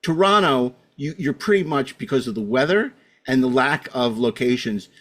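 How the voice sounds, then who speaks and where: A man's voice: mid-range voice, expressive, normal speed, fairly bright tone. A man hosting a casual panel discussion, arguing his opinions emphatically and often repeating himself. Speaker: podcast host.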